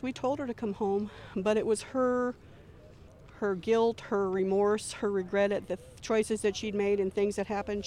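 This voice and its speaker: A woman's voice: mid-range voice, darkish tone. A middle-aged woman volunteer speaking in an earnest, reflective way in an interview. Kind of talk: interview testimony.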